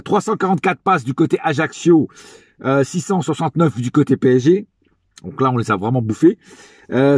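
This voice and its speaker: A man's voice: mid-range voice, slightly rough, dry recording, clean studio sound. A man giving a casual, chatty match review as a football fan, hesitant, with many fillers and restarts.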